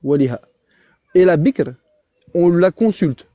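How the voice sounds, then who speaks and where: A man's voice: high voice, animated, takes it slowly, warm tone, dry recording. A man in a live online debate, insistent and repeating his points as he reads a religious text. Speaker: debate participant.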